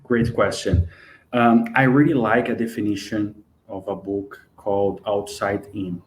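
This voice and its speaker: A man's voice: fairly low pitch, darkish tone, slight background noise. Brazilian man, earnest guest. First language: Portuguese. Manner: earnest, careful non-native English. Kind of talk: live webinar interview.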